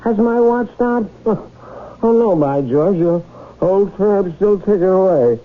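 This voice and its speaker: Sleepy gentleman husband, bumbling and reluctant, lively swings, thin phone-like 1970s radio sound. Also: high voice, takes it slowly. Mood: drowsy, befuddled. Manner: bumbling, dithering, droll. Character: sleepy, idle, drily stuffy gentleman husband. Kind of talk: 1970s radio drama acting. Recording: tinny, narrow 1970s radio recording.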